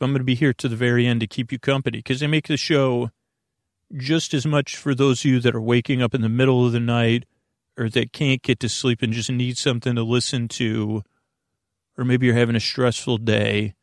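Creaky-voiced man, rambling and meandering. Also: mid-range voice, little pitch movement, dry recording, clean studio sound. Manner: meandering, halting, circles back and restarts sentences; voices imagined listener objections and answers them. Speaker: podcast host. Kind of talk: rambling, unscripted sleep podcast introduction.

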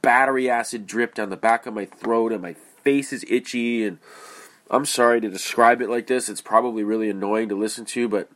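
A man's voice: mid-range voice, steady tone, fairly quick, fairly bright tone. A man with a stuffy, congested voice hosting his podcast in a rambling, casual way, full of fillers and restarts. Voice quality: nasal, stuffy. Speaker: podcast host. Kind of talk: casual solo podcast monologue.

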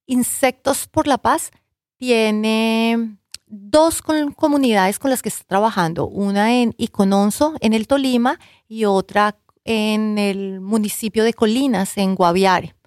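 A woman's voice: fairly high pitch, slow, a little mellow, no room sound, studio quality. A Colombian woman, a university professor and researcher, answering interview questions in an earnest, explanatory way with occasional hesitations.

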